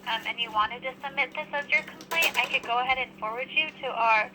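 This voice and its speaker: A woman's voice: high-pitched, a little fast, over music. A woman working as a public service phone agent, talking in a procedural customer service way over the telephone. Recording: noisy telephone line.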